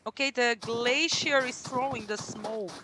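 Young Croatian-accented woman streamer, high voice, excitable play-by-play casting over loud in-game gunfire. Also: measured pace, heavy background noise. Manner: excitable, rising to shouts at big plays. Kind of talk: live esports commentary. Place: small room at home, indoors.

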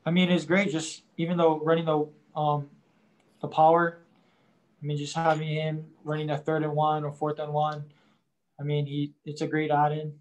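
A young man, a college quarterback, answering post-game press questions in a halting way, leaning on "I mean" and crediting his teammates. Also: fairly high pitch, monotone, darkish tone, rough recording. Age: young adult. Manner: halting, starts nearly every phrase with "I mean".

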